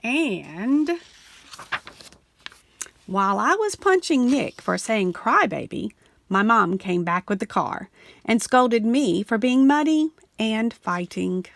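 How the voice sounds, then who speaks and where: Gravelly-voiced woman reading a picture book aloud. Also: no echo. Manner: animated storytelling, slowing and dropping low on the refrain. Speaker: storybook reader. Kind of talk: expressive read-aloud of a children's picture book.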